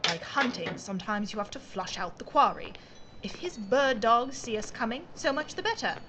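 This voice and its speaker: An actress playing a bold, imperious aristocratic lady sleuth of 1940s London, brisk and commanding in a period drama. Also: fairly high pitch, lively intonation, sharp tone, a little hiss or hum. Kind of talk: period audio drama acting.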